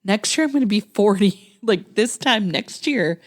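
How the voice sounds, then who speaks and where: A woman's voice: mid-range voice, expressive, moderate pace. A woman in her late thirties from Texas, a podcast host chatting casually with a guest, with frequent laughs and ums. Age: late 30s. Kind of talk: casual chat.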